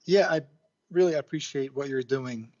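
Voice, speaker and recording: A man's voice: medium pitch, moderate pace, darkish tone. A polite man born in Lebanon who grew up with Arabic as his first language phones in to a show. His voice comes through a dull, muffled line. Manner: polite and complimentary. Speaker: caller. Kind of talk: phone-in call.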